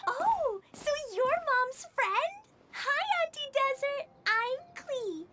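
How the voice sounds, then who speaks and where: Squeaky, bouncy little girl. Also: very animated, takes it slowly, background noise, exaggerated voice. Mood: cheerful. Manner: childlike, earnest, bouncy. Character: cheerful, eager little girl adventurer. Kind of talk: anime game voice acting. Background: game music.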